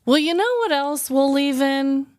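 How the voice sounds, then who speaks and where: A woman co-hosting a podcast with an expressive, dramatic way of talking, both bantering and telling stories. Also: high-pitched, measured pace, no room sound. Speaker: podcast co-host. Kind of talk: casual banter and scripted comic storytelling.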